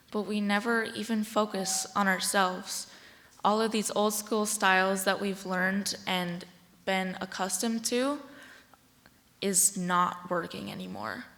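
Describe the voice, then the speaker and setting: A teenage American girl delivers a prepared speech from a stage. Her voice sits at a middle pitch, earnest and deliberate, and rings with the long reverb of a large hall. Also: creaky, fairly bright tone. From Washington State, United States. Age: teens, about sixteen. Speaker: youth advocate and speaker.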